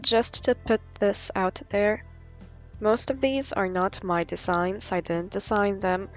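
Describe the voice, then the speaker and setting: A woman's voice: mid-range voice, fairly even intonation, slow, faint music behind. A young woman narrating a casual vlog in an informal, sweary way. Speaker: vlogger, artist.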